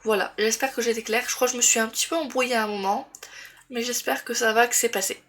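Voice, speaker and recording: A woman's voice: on the high side, steady tone, quick pace, a bit bright. Female vlogger with a head cold, rambling and hesitant, faint room noise behind her. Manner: rambling with many fillers and restarts. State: congested with a cold. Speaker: booktuber. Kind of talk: casual book review vlog.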